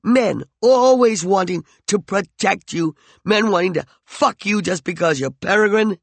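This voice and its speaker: A man's voice: fairly high pitch, sing-song, a little slow, creaky, no room sound, voice pushed up, heavily acted. A man playing a jealous, volatile hothead. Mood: raging. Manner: heated, mocking and intense. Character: jealous, volatile young man, raging then pleading.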